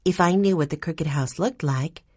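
A gentle young girl in a children's story, played by a woman. She speaks earnestly and politely in a slightly husky voice. The sound is close and dry. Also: low voice, moderate pace, no room sound. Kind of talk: children's audiobook character voice.

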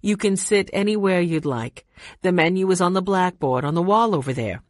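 Woman playing a brisk lodge-owner woman. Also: on the low side, lively intonation, dry recording, clean studio sound, close to an everyday voice.

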